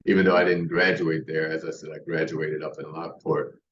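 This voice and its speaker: Deep-voiced older man, distant and roomy. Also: level tone, a little fast, fairly bright tone. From United States. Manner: rambling, with many restarts and fillers. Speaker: podcast guest. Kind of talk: casual interview storytelling. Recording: distant roomy muffled remote mic.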